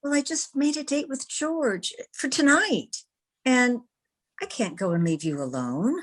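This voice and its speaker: A woman playing a poised, smitten newspaperwoman in an old-time radio romance, measured, tender and ladylike. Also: high-pitched, animated. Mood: flustered. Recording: video-call radio play recording.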